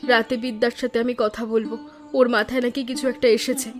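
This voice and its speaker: A woman's voice: a little high, flat delivery, fairly quick, faint music behind, natural voice. A woman voicing an earnest, dutiful village daughter-in-law in a cartoon story, worried but resolute. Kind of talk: Bengali cartoon story dialogue.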